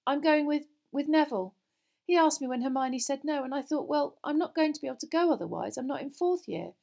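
Lady doing a touchy earnest young teen girl for a storybook, voice pitched up. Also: animated, fairly quick, vocal fry, mellow. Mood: miserable. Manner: defensive, earnest. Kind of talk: children's fantasy audiobook dialogue.

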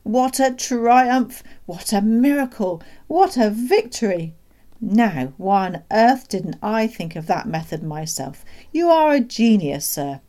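A woman's voice: deep voice, measured pace, few pauses, mellow. A woman voicing a tiny, jubilant male country doctor in a storybook, effusive and theatrical. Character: tiny elderly village doctor, excitable, jubilant man. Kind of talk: children's storybook read aloud.

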